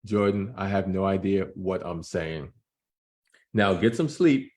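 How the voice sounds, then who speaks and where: Man playing a reassuring, animated dad. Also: deep voice, measured pace. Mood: wry, consoling. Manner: reassuring, wry. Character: supportive, gently joking father of a teenage boy. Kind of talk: children's graphic novel read-aloud.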